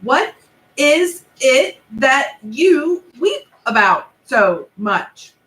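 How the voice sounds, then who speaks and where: A woman's voice: high voice, very animated, drawn-out words, a bit bright, pitched above the performer's own voice, full character voice. A woman doing a polite, eager young man. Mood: apologetic. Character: polite clever man, the narrator's father. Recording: echoey room.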